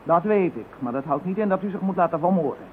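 An actress playing a calm, earnest woman who practices magic and tries to persuade a frightened man. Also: lowish voice, quick pace, slight background noise, natural voice. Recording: tinny lo-fi old radio recording.

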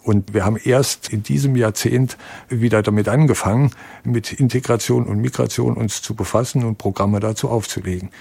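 A man's voice: on the low side, steady tone, moderate pace, gravelly, warm tone, husky, no echo. A man speaking as a foundation executive in a reflective interview, explaining things matter-of-factly.

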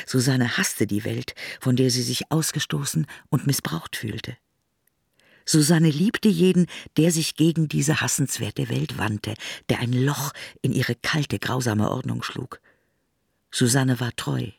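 A husky-voiced man narrates a literary radio play in measured, deliberate phrases. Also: medium pitch, no room sound, clean studio sound, heavily acted.

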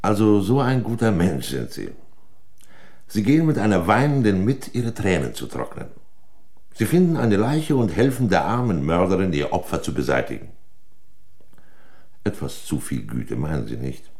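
An actor playing a shrewd, sardonic examining magistrate in a crime radio play, questioning in a pointed, condescending way. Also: male voice, low-pitched, big pitch swings, darkish tone. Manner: pointed, condescending, deliberate interrogation.

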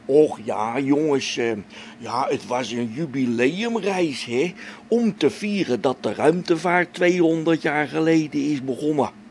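A man's voice: on the high side, measured pace, a little mellow, a little hiss or hum. An actor playing a grumbling old-school test pilot and father talking to himself in a spaceship radio drama. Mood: explaining wryly. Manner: muttering to himself, grumbling, chuckling.

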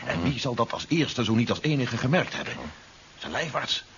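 An actor playing a bitter, stubborn general in a 1970s radio drama, grumbling and deliberate as he schemes. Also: mid-range voice, very animated, a bit bright. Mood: conspiratorial. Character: disgraced general, bitter, stubborn, scheming under house arrest. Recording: dull, hissy old radio recording.

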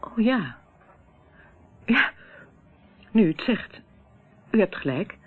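Nervous polite lady in old radio play, bit husky, evasive, muffled tape. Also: mid-range voice, very animated, takes it slowly, heavily acted. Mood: uneasy, defensive. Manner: polite, hesitant, defensive. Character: polite, uneasy, evasive married woman. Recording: muffled, narrow-band old radio recording.

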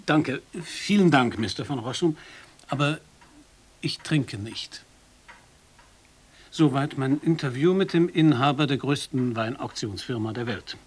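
A man's voice: mid-range voice, lively intonation, measured pace, pauses often, husky. An actor playing an American male radio reporter in a radio play, reporting in a dry, ironic manner. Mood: polite.

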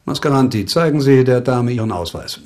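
An actor playing a wry, probing former doctor who works as a police investigator in a radio crime drama, dry and commanding. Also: male voice, medium pitch, lively intonation, moderate pace, a little mellow, natural voice. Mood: brisk, probing.

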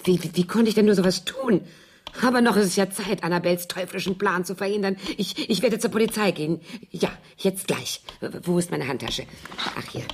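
A woman's voice: mid-range voice, a little fast, darkish tone, a little hiss or hum, close to an everyday voice. An actress playing a drunk, sentimental woman in a radio play, rambling and coquettish. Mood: agitated, remorseful. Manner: rambling, muttering to herself, coquettish. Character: tipsy, remorseful woman drinker, sentimental and chatty.

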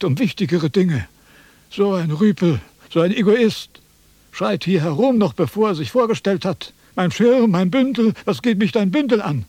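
Pompous high-voiced philosopher, sharing his wisdom. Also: a little mellow, dry recording. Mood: indignant, haughty. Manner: pompous, lecturing. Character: pompous, self-important male Chinese sage, condescending and impatient. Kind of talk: theatrical radio drama dialogue.

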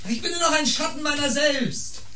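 A man's voice: extremely high pitch, normal speed, noisy background. A man playing a sulky, self-pitying sick husband in a radio play, grumbling, skeptical and theatrical. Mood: whiny, self-pitying. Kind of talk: naturalistic radio play dialogue.

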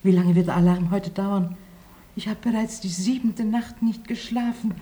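An actress playing an anxious, plaintive older French widow in a radio play, fretting and lamenting. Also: female voice, mid-range voice, normal speed, dark tone, reverberant, natural voice. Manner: fretful, lamenting.

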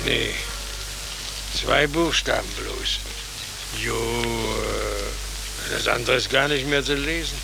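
A man's voice: mid-range voice, takes it slowly, vocal fry, pauses often. An actor playing a kindly, naive, fussily concerned policeman in a 1940s German radio drama. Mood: worried, disapproving. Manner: fretful, astonished, repetitive, solicitous. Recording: noisy old 1940s radio recording.

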